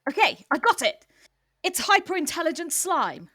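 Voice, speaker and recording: A woman's voice: very high-pitched, big pitch swings, moderate pace, sharp tone. A woman improvising comic dialogue in an audio drama.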